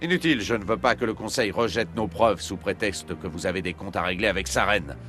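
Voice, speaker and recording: Video game ambassador, sharp tense voice, official and easily outraged, faint music. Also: male voice, deep voice, brisk. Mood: stern. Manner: forceful, official. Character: indignant, forceful human male ambassador. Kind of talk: video game cutscene acting in French.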